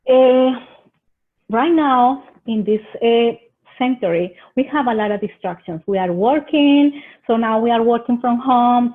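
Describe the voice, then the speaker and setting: A woman from Colombia with a Colombian Spanish accent, giving earnest home-living tips in a webinar presentation. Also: a little high. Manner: earnest, gives advice in short phrases with some hesitations. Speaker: extension agent presenter. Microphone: video call. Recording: tinny phone-like video call audio.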